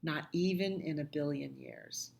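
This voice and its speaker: A woman voicing a patient, affectionate grown-up who humours a small child in a picture book, gentle and reassuring. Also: deep voice, unhurried, gravelly. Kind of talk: picture-book dialogue read aloud.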